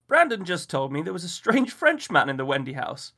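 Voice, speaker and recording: A man voicing a genial adult father with a brisk, friendly way of talking. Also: on the high side, sing-song, sharp tone, pitched above the performer's own voice, heavily acted. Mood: teasing.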